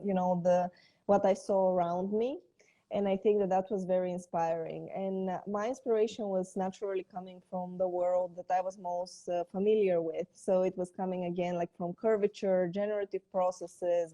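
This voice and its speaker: Mellow woman telling her story on a call. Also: medium pitch, rough recording. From Greece and Bulgaria. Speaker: interview guest, architect. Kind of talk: casual personal storytelling in interview. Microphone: video call.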